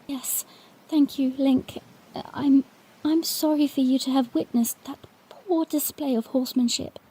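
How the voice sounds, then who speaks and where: A woman's voice: sits high, fairly even intonation, a little slow, mellow, poor audio. A woman playing a bashful young princess, telling her own story tenderly and earnestly in a fan-made audio drama. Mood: flustered, apologetic.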